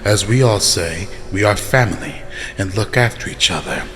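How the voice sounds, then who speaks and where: A man's voice: fairly low pitch, normal speed, fairly bright tone, natural voice. A man voicing a sardonic vampire clan elder who speaks in rhetorical, pointed fashion in a fantasy role-play drama. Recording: long hall reverb, clear background noise.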